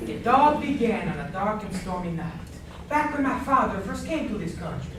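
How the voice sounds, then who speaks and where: A woman's voice: medium pitch, lively intonation, moderate pace, natural voice. A woman playing a pompous, insulting male restaurant don, telling family legend grandly in an amateur stage comedy. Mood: pompous, scornful. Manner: grandiose storytelling, emphatic. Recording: very echoey stage, distant mic, clear noise.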